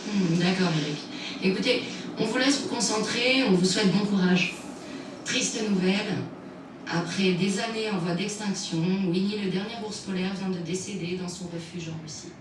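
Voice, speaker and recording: A woman's voice: medium pitch, moderate pace, lightly acted. A woman playing a composed TV news anchor, presenting in a formal scripted style. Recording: very echoey, distant, noisy.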